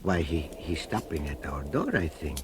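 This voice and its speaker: An older man, acting a gentle fatherly shoemaker and narrating in an old radio drama, with soft chuckles. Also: extremely low pitch, very animated, normal speed, a little hiss or hum.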